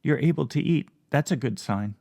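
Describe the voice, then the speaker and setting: A man reading as a wry, gentle female elf. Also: mid-range voice, animated, normal speed, vocal fry, no room sound, studio quality. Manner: soft, lightly amused.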